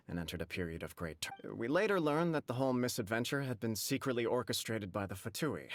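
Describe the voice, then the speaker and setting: A man playing a courteous, dignified noble official in an anime game, speaking in a formal, measured, diplomatic way. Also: fairly low pitch, fairly bright tone, dry recording.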